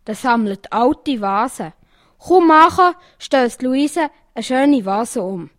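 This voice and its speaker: Swiss woman reading funny stories, high pitched, acts out the quotes, dry sound. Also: takes it slowly, no room sound. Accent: Swiss German. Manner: animated storytelling, acting out quoted lines. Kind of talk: reading jokes aloud.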